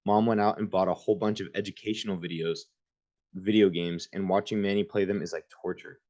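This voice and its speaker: Low voiced guy reading a kids book out loud, chill and informal with little side comments. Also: fairly even intonation, brisk. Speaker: reader hosting a book livestream. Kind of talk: reading a children's book aloud with casual asides.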